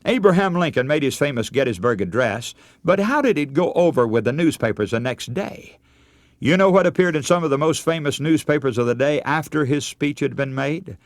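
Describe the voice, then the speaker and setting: A man's voice: on the high side, lively intonation, brisk, no room sound. An older man preaching a radio sermon, telling stories in an earnest way and calling his listeners friends.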